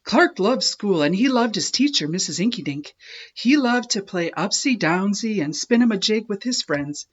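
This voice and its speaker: A woman narrating a children's read-aloud expressively, with emphatic, drawn-out key words. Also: medium pitch, expressive, breathy edge, dull audio. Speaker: storyteller.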